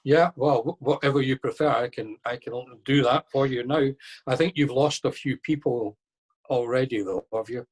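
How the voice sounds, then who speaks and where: A man answering questions in an online lecture, relaxed and conversational, thinking aloud as he explains. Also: mid-range voice, moderate pace, husky. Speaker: lecturer on masonic history. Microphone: video call.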